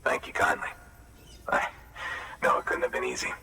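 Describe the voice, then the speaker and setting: An actor playing a gruff, distrustful older man in a video game, with a folksy, grumbling manner of speech. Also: normal speed, pushed and bright. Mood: wary approval. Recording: roomy echo, distant, faint noise.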